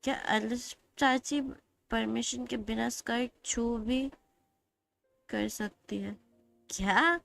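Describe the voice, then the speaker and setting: A woman's voice: fairly high pitch, very fast, vocal fry, long pauses, a bit bright. A woman with an Indian accent giving casual live-stream reactions to a video game. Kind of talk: casual reactions, mixing Hindi and English. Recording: faint noise, game ambience behind.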